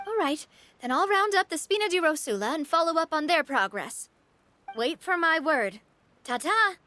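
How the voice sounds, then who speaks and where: A woman's voice: very high-pitched, animated, normal speed, sharp tone, exaggerated voice. A woman voicing a breezy, confident young female leader in a video game, self-assured and lightly teasing. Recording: music behind the voice.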